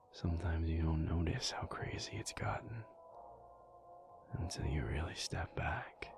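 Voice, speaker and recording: A man playing a thoughtful, weary alpha werewolf in an intimate audio roleplay, speaking softly and breathily in a halting, confiding way. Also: full character voice. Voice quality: breathy, half-whispered, low and gravelly. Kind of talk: intimate audio roleplay, soft-spoken ASMR monologue.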